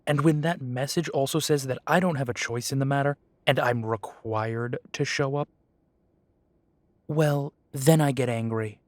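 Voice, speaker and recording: A sardonic half-breed werewolf, played by a man in an audio drama, talking slowly in a dry, wry tone. His voice sits mid-range and sounds close and dry, with no room around it. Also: medium pitch, steady tone, no echo, natural voice. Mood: tense, bitter.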